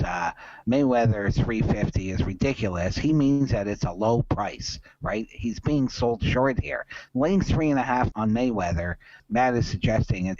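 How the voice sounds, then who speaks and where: A man's voice: lowish voice, darkish tone, no room sound, highs cut off. A man hosting a sports betting podcast in casual commentary, opinionated and emphatic, repeating his points for stress.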